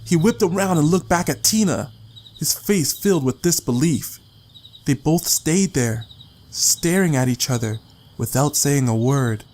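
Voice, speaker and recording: A man's voice: on the high side, expressive, unhurried, darkish tone. A man reading a story as an audiobook narrator, in a dramatic scripted style.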